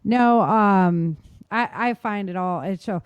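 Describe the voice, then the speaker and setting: A woman's voice: medium pitch, slow pace, clear, resonant tone, no echo, dull audio. A woman with a mid-range voice chats informally as the co-host of a talk show. Her delivery is casual and conversational. The recording is dry, with very little room sound. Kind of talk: casual chat.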